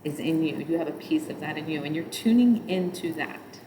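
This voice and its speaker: A middle-aged woman with a nasal voice, teaching yoga in a relaxed, rambling way. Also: low voice, fairly quick, noisy background. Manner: chants mantras in a nasal sung tone between explanations. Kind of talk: spoken yoga instruction.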